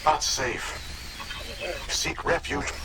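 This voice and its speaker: An actor playing a desperate alien warning in a video game, spoken in broken fragments through a degraded transmission. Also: male voice, fairly high pitch, fairly even intonation. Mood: despairing. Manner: halting, fragmented phrases. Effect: degraded radio-like transmission. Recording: noisy, degraded transmission crackle.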